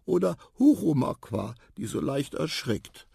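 A performer voicing a sleepy, shy young elephant in a children's story, murmuring and hesitant, gentle and good-natured. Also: mid-range voice, sing-song, a little slow, creaky, husky, dry recording, studio quality, pitched above the performer's own voice, full character voice. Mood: sleepy, patient.

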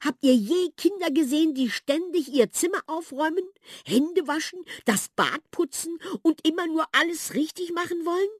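A woman voicing an excited, anxious little female house mouse who talks in a high, trembling, pleading voice. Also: moderate pace, no room sound, studio quality. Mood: lamenting.

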